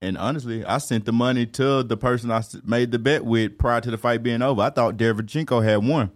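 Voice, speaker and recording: A man's voice: medium pitch, fairly quick. A man co-hosting a casual podcast, laid-back and chatty, telling stories with frequent "you know what I'm saying". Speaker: podcast co-host. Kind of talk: casual boxing chat.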